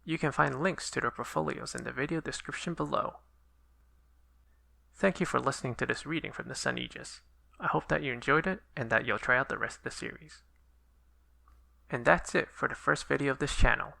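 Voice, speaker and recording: A man's voice: medium pitch, a little fast, vocal fry, pushed and bright, no room sound. A man, the author hosting the channel, reading scripted closing announcements in a plain, matter-of-fact way.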